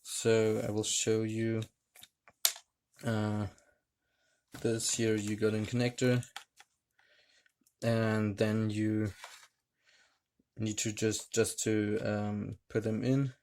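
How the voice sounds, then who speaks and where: A man reviewing a gadget hands-on, talking in a hesitant, halting way with many fillers. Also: fairly low pitch, monotone, darkish tone, a little hiss or hum. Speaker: tech reviewer. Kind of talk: hands-on product demonstration.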